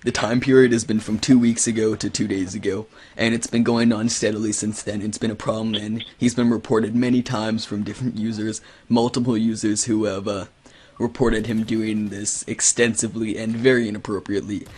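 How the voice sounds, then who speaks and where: Guy pretending to be a stern official website manager on a prank call, lowish husky voice, formal wording. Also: little pitch movement, brisk, a little mellow. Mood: solemn, official. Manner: mock-formal, hedging with official-sounding phrases. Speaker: prank caller. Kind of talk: improvised prank phone call.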